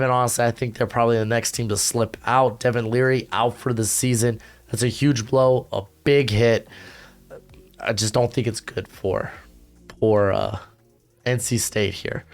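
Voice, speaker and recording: A hoarse young man hosting a casual college football rankings show from home, talking in an energetic, slangy way with lots of fillers. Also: medium pitch. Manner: energetic, slangy, rapid asides with frequent fillers. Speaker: college football analyst and channel host. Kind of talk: casual rankings commentary to camera. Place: home recording setup with a humidifier.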